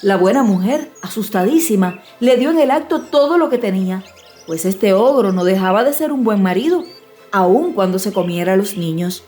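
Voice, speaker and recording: A woman's voice: mid-range voice, animated, normal speed. A woman reading a classic fairy tale as an audiobook narrator, in scripted storytelling style. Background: soft music.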